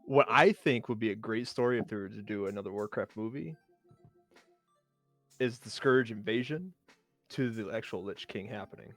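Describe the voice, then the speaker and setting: A man's voice: lowish voice, moderate pace, faint music behind. A man explaining things casually as a nerdy fan on a discussion panel. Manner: self-described nerdy enthusiast. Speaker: round table panelist.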